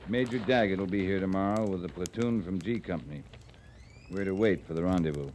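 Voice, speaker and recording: An actor playing a gruff, steady frontier cavalry captain with an American accent, terse and dry, in an old-time radio western. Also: male voice, low voice, a little fast, a little hiss or hum, lightly acted. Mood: commanding.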